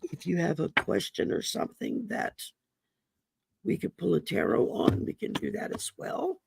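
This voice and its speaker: A woman with a gravelly voice from damaged vocal cords. She hosts a casual livestream, chatting and reading oracle cards in a folksy way with many ums. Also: fairly low pitch, wildly swooping pitch, normal speed. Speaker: host and oracle card reader. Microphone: computer mic on a livestream.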